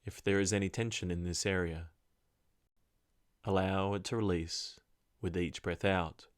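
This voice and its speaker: A man slowly guiding a body scan meditation in a soft, calm voice. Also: low-pitched, dry recording, clean studio sound. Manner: slow, soft, calm instructions. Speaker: meditation guide. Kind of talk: guided meditation.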